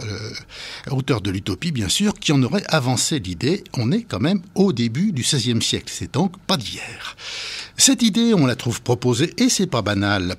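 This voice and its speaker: Lively husky male radio host. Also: mid-range voice. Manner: playful, jokey, animated. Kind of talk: community radio presenting.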